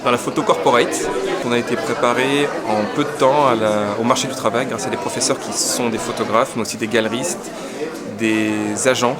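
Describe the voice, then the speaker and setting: Hesitant man over loud music and crowd. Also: medium pitch, fairly even intonation, brisk. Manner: hesitant, repeating words. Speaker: photography student. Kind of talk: presenting his portfolio at an exhibition. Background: music and crowd chatter.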